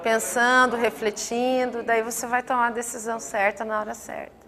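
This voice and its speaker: A woman's voice: on the high side, level tone, moderate pace, a bit bright. Woman leading a group in a hall. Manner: teasing, encouraging. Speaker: workshop facilitator. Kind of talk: group introductions, friendly banter.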